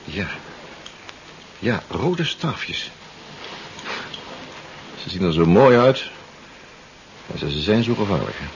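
A man's voice: low voice, big pitch swings, slow pace, pauses often, full character voice. A man playing an anxious, hesitant seafaring comrade in a 1970s radio drama, often repeating himself. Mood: wondering, doubtful. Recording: muffled 1970s radio recording, background noise.

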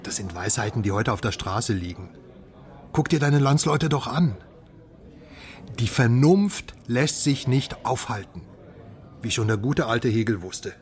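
A man's voice: mid-range voice, expressive, unhurried, lightly acted. Musing, optimistic armchair philosopher, played by a man. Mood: musing, confident. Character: philosophizing, optimistic man drinking beer with his friend. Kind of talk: German radio drama acting.

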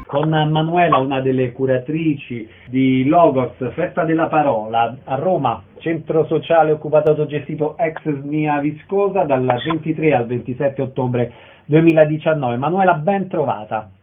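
A man's voice: fairly high pitch, normal speed, over music. A man hosting a radio interview, introducing and questioning a guest. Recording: noisy narrow phone-like radio audio.

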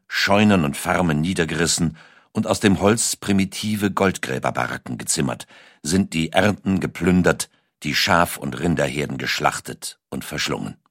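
A man narrating a scripted documentary story in a measured, dramatic storytelling manner. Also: a bass voice, a bit bright, no echo, studio quality.

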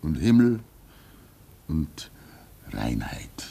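Slow, deep-voiced old hermit. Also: male voice, big pitch swings, creaky, husky, exaggerated voice. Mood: serene, devout. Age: older. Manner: fatherly, reflective, halting, swinging between emphasis and hush. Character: gentle, resigned old hermit of a remote northern outpost. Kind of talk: German radio play acting.

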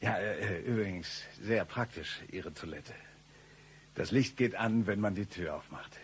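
Male actor as shady hitman professor, low voice, chatty, self-important, eccentric, satirical radio comedy, light background noise. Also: a little slow, natural voice. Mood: cheeky, breezy. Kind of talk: satirical radio play acting.

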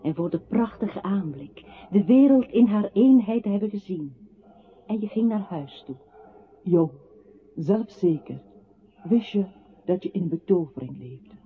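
An actor playing an accusing voice that solemnly tells a captive his own life in a poetic radio play. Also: sits high. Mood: lyrical. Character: accusing male voice narrating a captive's life to him. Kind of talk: radio play, poetic second-person narration. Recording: tinny, narrow old radio recording with hiss.

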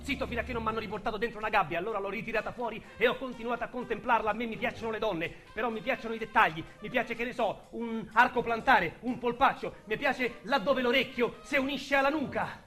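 An actor voicing a calm male serial killer who speaks in a slow, measured, contemplative way in a radio drama. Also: very high-pitched, faint music behind, voice pushed up.

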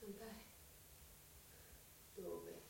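A woman's voice: extremely slow, background noise. An actress playing a devout, suffering young woman who clings to her faith, fervent and earnest in an Italian radio drama. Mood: quiet, resigned. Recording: very echoey, distant mic, loud music.

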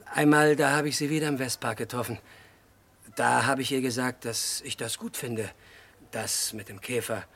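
A crazy childlike murderer in an old radio krimi, husky voice, choppy confession, unstable. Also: male voice, medium pitch, fairly even intonation. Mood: naive, earnest. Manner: halting, disjointed short sentences, swinging from hushed to agitated outbursts. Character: unhinged, childlike male murderer confessing to his crime. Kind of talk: mid-century German radio crime drama acting.

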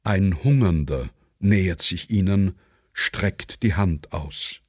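A man reading stage directions in a measured, deliberate way, as a scripted dramatic reading. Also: deep voice, a little mellow, no room sound, clean studio sound.

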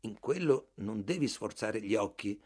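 A man voicing a meek, quiet male clerk who answers softly, slowly and flatly. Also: lowish voice, breathy edge. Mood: calm, stubborn. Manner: soft, slow, flat and calm.

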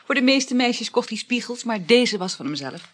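A flighty married woman in a radio drama, chatting about scandal as casually as the weather. Her pitch swings freely and animatedly, and the voice has a slightly husky, breathy edge. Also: big pitch swings, moderate pace, a bit bright. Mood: resigned, nonchalant. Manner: gossipy, casual, matter-of-fact about scandal. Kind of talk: naturalistic radio play acting.

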